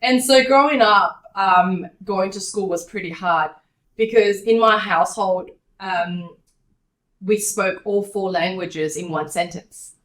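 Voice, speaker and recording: A woman's voice: mid-range voice, slow, a bit bright. Australian woman, Taiwanese first language, chatty interview guest, run-on sentences, echoey poorly miked room. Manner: chatty, loose run-on sentences, many fillers. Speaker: guest, estate agent and DJ. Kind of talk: casual interview chat. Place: hotel room in a city. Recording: echoey room, poor mic.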